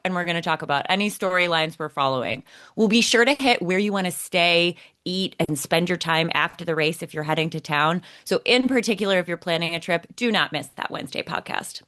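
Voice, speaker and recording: A woman's voice: on the low side, fairly bright tone. A woman co-hosting a podcast, explaining things in a conversational presenting style. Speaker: podcast co-host.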